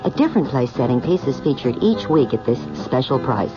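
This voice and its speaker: A woman's voice: a bass voice, sing-song, fairly quick. A woman announcer giving a scripted advertising read for radio. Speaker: commercial announcer. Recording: muffled old radio commercial. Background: music.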